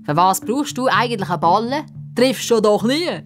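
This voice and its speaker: A woman's voice: fairly high pitch, animated, normal speed, music in the background, pitched above the performer's own voice, natural voice. A woman voicing a smug, intimidating boy bully in a children's story, taunting and sneering. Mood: jeering.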